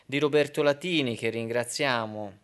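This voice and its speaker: A man's voice: medium pitch, normal speed, fairly bright tone. A man presenting a radio drama, reading out scripted credits as an introduction.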